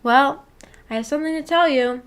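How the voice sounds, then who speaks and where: A woman's voice: high-pitched, brisk, fairly bright tone. A woman streamer chatting casually with her viewers in a giggly, playfully teasing and slangy way. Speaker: streamer reading and answering viewer chat. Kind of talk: casual livestream chat.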